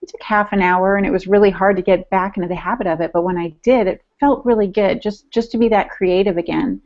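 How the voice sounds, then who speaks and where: A woman's voice: medium pitch, steady tone, fast, a little mellow. Woman chatting on a lo-fi podcast. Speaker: podcast co-host discussing writing. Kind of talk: casual personal chat.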